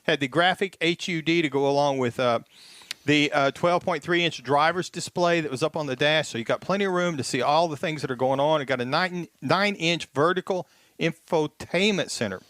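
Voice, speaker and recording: A man's voice: a little high, fairly quick, a bit bright, no echo. A man casually reviewing a car on a radio show, listing features with fillers.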